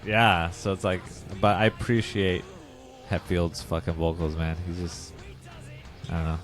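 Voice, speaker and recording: A man's voice: low-pitched, little pitch movement, unhurried, over music. A young man co-hosting a podcast, talking in a laid-back, casual way with lots of "dude" and "man". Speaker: podcast co-host. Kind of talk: casual chat reviewing an album.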